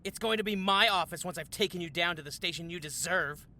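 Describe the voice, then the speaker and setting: A woman's voice: fairly low pitch, quick pace, bright, forceful tone. A woman playing a smug, sexist male office rival, pompous and sneering, in a comedy audio drama. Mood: taunting.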